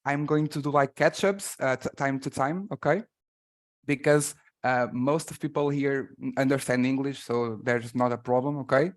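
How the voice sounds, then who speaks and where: A man's voice: mid-range voice, normal speed. Portuguese man hosting a live call. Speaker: host and translator of an online class. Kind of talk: introducing a guest on a live call.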